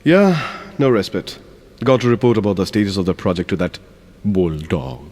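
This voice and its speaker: A man's voice: on the low side, big pitch swings, normal speed, a little mellow. A man playing a weary young Indian techie. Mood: wry. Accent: Indian English. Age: young adult. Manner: sleepy, mumbling to himself. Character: weary young male software professional. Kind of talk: audio drama acting.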